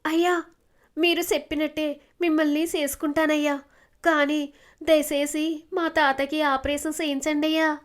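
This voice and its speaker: A woman puts on a poor young village woman in a moral tale. She sounds earnest, humble and anxious, with rustic speech. The pitch is high. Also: level tone, normal speed, long pauses, close to an everyday voice. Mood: pleading. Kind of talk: dramatized moral story dialogue.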